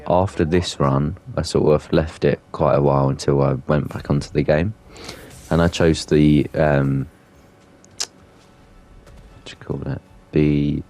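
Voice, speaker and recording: A man's voice: rumbling low, darkish tone. A man giving casual commentary over a game playthrough in a hesitant, rambling way. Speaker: gameplay streamer.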